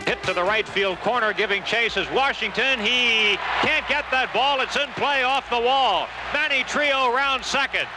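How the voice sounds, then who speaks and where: A man's voice: very high voice, normal speed, few pauses, music in the background, a crowd in the background. An American man calling live baseball play-by-play on the radio. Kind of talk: live radio sports commentary. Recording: very noisy stadium radio broadcast.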